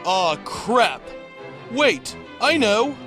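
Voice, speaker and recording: Guy doing a prissy nerdy young cartoon lawyer, really high voice, whiny and indignant, music under it. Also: very animated, very slow, heavily acted. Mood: indignant, flustered. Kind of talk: comedic parody cartoon dub. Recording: music behind the voice.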